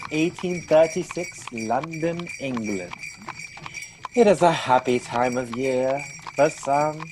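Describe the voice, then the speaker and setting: An actor voicing the ghost of an author who narrates a radio play in a measured, theatrical storytelling manner. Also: medium pitch, noisy background.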